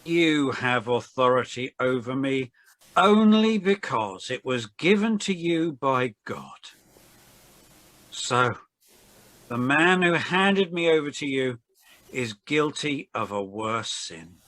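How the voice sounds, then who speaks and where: A man plays a condemned holy man in a Bible drama, calm and resigned. The voice is mid-pitched, quiet and slow, in a dry recording with little room sound. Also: no echo. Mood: calm, solemn.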